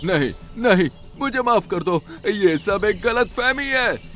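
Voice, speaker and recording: A man's voice: expressive, takes it slowly, pushed and bright, exaggerated voice. A man voicing a big, menacing woodpecker gang boss in a cartoon, with a scolding, commanding manner. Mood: pleading. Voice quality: deep voice.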